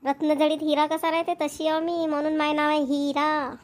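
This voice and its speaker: Woman doing a boastful sharp-tongued village wife, voice up high. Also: level tone, normal speed, close to an everyday voice. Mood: teasing. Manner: bickering, boastful. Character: shrewd rural wife, proud and sharp-tongued. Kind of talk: cartoon voice acting.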